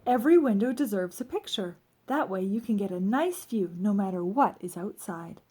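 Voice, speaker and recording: A woman reading aloud as a cheerful, eager, literal-minded housekeeper in a children's storybook, brisk and matter-of-fact. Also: medium pitch, expressive, mellow, slightly rough. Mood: pleasantly unruffled.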